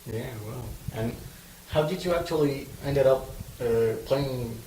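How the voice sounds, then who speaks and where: A man's voice: medium pitch, measured pace, dark tone. A man interviewing a guest, asking hesitant questions with fillers. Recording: echoey, distant, noisy room.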